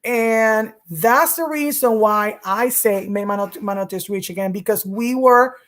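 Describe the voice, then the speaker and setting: A woman from Rico, hosting her own vlog and talking earnestly to camera, repeating words for emphasis. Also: a little high. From Puerto Rico. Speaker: vlog host. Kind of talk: casual talk to camera.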